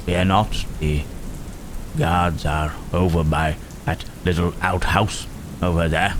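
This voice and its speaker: A man voicing Frankenstein's monster as gentle, brooding and protective, speaking in halting, formal, old-fashioned phrases for an ASMR roleplay. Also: low voice, steady tone, background noise. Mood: tender. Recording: rain and thunder throughout.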